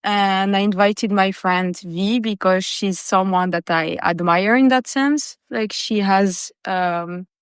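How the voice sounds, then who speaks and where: Woman podcast host, smooth clear voice, takes it slow and halting, dry close sound. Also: mid-range voice, dry recording. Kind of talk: casual conversational interview.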